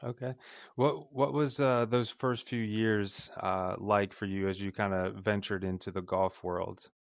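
A man's voice: fairly low pitch, steady tone, unhurried, creaky, no echo. A man hosting an interview podcast, addressing listeners and his guest.

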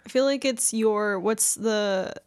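A young adult woman co-hosting a podcast, chatting casually with deadpan, sarcastic jokes. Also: medium pitch, slow pace, clean tone. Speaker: podcast co-host. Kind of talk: casual chat.

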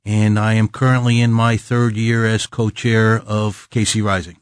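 Man in his sixties, fairly low pitch, conversational interview guest, little room sound. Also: little pitch movement, normal speed, no echo, studio quality.

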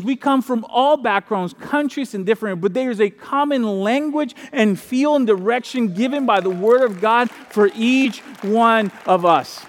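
A pastor from the Dominican Republic preaching a sermon in an animated, conversational way, repeating key phrases and adding asides. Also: male voice, sits high, big-room sound.

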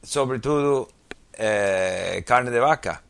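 A man speaks slowly in halting Portuguese, pausing on fillers while he hunts for words. His English-speaker accent comes with Spanish slips mixed in. He sits close to a dry mic, with an ordinary pitch. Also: gravelly, smooth, dry recording. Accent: English-speaking learner of Portuguese, Spanish slips. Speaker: podcast host. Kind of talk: casual conversation.

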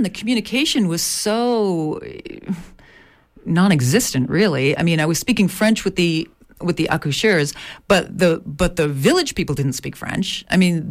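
A woman author and midwife speaks in an unhurried interview. Her voice sits a little low, and she talks reflectively, with frequent fillers and restarts as she searches for words. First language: English. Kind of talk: interview conversation.

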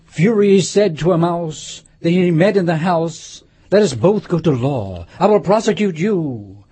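A woman voicing an easily offended male mouse in a storybook reading, grave and severe, with a husky edge. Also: lowish voice, expressive, measured pace, warm tone. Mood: solemn, mournful.

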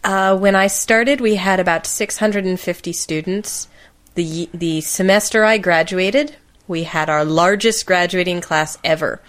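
A woman's voice: on the low side, unhurried, fairly bright tone. A woman hosting a podcast in casual conversation, with a playful, teasing manner. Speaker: podcast host.

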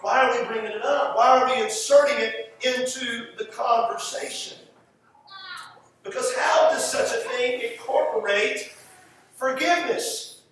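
A man with a Southern US accent preaching a sermon emphatically, repeating phrases and pausing for effect. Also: very high voice, a bit bright. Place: large echoey room, preaching to a congregation. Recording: echoey room, distant mic, faint noise.

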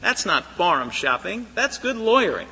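A man arguing before a high court in a loud, insistent, persuasive manner. His voice is bright and forceful with a husky edge. The archival recording carries the long reverb of a big courtroom. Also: big pitch swings, normal speed. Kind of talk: formal courtroom argument. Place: large courtroom.

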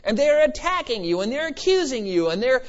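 A slightly muffled, lo-fi recording of a man preaching. His voice sits high for a man. He exhorts emphatically, mixing scripture teaching with conversational asides. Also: very animated, fairly quick. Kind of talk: expository sermon. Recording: slightly dull, lo-fi sermon recording.

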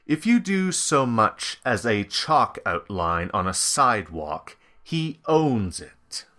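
A man voicing a menacing male goon enforcer who talks slowly and coldly. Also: fairly low pitch, very animated, bright, forceful tone, heavily acted. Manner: slow, measured, coldly menacing.

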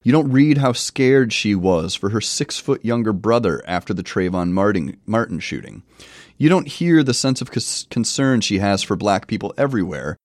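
A middle-aged man with a cold hosts a solo podcast, wry and self-deprecating. His pitch rises and falls a lot as he explains. The sound is close and dry, with no room around it. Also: darkish tone, no room sound. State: has a cold. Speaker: podcast host and professor. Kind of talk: solo review podcast monologue.

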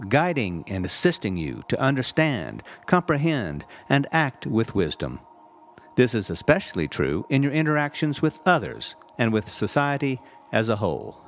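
Expressive male narrator of spiritual text. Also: mid-range voice, a little hiss or hum. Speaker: reverend reading a spiritual audio text. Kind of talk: scripted inspirational narration.

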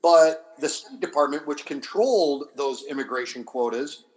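Higher-voiced man, halting academic explainer. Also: poor audio. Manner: deliberate explaining with halting restarts and repeated phrases. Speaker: history scholar and panelist. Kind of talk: academic discussion, off the cuff.

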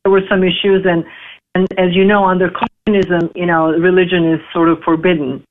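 A woman in her forties from Serbia, speaking English, telling her life story in an interview with halting, filler-heavy speech. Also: on the low side, little pitch movement, slight background noise. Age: 40s. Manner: halting, many fillers and restarts. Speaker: interview guest. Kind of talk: casual interview, personal story. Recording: tinny phone line.

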